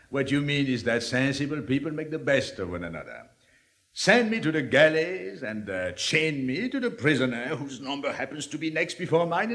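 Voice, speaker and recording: Suave cynical libertine, lively swinging intonation, declamatory 1950s stage drama, long hall reverb. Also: male voice, mid-range voice. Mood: sardonic, measured. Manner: rhetorical, deliberate, declamatory. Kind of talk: 1950s theatrical dramatic reading.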